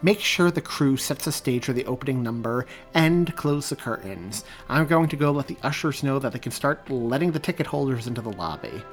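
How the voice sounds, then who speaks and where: A man's voice: mid-range voice, lively intonation, a little fast, hardly any pauses, faint music behind, lightly acted. A man voicing a stern, scornful old woman, a commanding theater director in an audiobook, with clipped delivery. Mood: brisk. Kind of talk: audiobook character dialogue.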